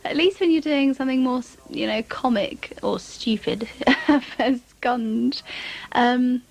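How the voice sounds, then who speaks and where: A woman with a high voice chats casually in an interview about her acting work. She is hesitant and giggly, feeling her way through her memories. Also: a little slow, vocal fry. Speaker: voice actress being interviewed.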